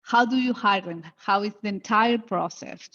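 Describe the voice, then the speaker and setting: A woman hosting an interview, asking questions slowly and in measured steps. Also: mid-range voice, fairly bright tone, dull audio. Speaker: interviewer host.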